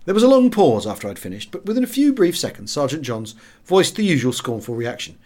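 A middle-aged English man reading a memoir aloud as an expressive audiobook narrator. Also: a little high, fast talker. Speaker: audiobook reader.

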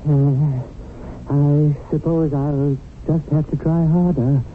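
An actor in a 1970s radio drama playing a harried, loyal guardian angel with an apologetic, folksy manner. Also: male voice, fairly high pitch, level tone, takes it slowly, slight background noise. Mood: defensive. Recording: tinny old radio, music behind.

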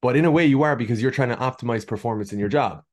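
A man's voice: mid-range voice, racing. A man with an Irish accent, a guest nutritionist telling stories in a friendly, easygoing way on a podcast. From Ireland. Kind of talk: casual podcast interview.

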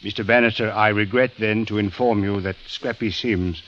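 An actor playing a courteous, measured police captain with formal, deliberate phrasing in 1940s radio drama. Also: male voice, fairly low pitch, fairly even intonation, fairly bright tone, slight background noise, lightly acted. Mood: formal, grave. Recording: dull old radio recording.

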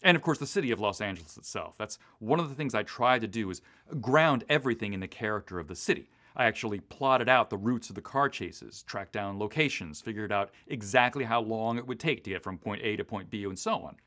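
A man's voice: on the low side, a little fast, fairly bright tone. A man from the US East Coast talking casually and in detail as a writer being interviewed, in an enthusiastic, digressive way. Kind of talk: casual, detailed behind-the-scenes chat.

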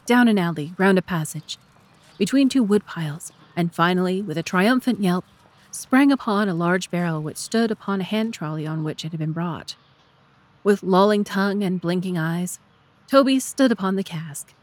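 Woman, slightly low voice, storybook narrator, scripted podcast delivery.

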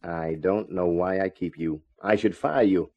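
Low, husky, wisecracking private eye. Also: male voice, measured pace, dull audio. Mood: exasperated. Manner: deadpan, wisecracking. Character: wry, hard-boiled American private eye, adult man. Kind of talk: 1950s radio detective drama, first-person narration.

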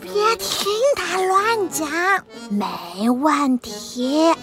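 A woman's voice: high-pitched, very animated, very slow, exaggerated voice. A woman voicing a kindly, retired old witch grandmother in a children's audio story, sighing and grumbling like an old lady. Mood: cheerful. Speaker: voice actor. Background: children's music.

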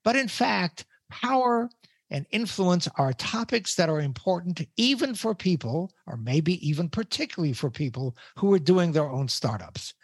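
An older man, a professor hosting a podcast, introducing and questioning a guest in a deliberate, emphatic way. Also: high-pitched, lively intonation, breathy edge, dry recording. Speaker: podcast host.

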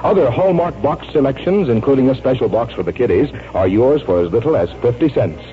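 A male radio announcer reads advertising copy with very lively intonation at a medium pitch. The vintage recording is tinny and narrow, with some noise. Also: brisk.

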